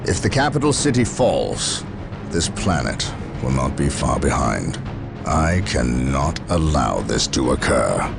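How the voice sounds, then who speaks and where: A resolute military commander in a video game, speaks slowly in an extremely deep, gravelly voice. He is solemn and deliberate. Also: sing-song, music in the background, heavily acted. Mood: grim resolve. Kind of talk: video game cutscene acting.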